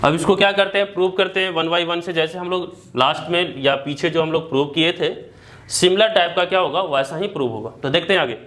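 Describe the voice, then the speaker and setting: An Indian man teaching a maths lesson, mixing Hindi with slowly dictated English notation and often restarting phrases. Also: on the high side, fairly bright tone.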